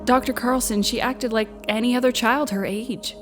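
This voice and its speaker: A woman playing a fragile, pleading but steadfast mother in an audio drama, speaking with deliberate care. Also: on the high side, fairly even intonation, music in the background, lightly acted. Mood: pleading, grave.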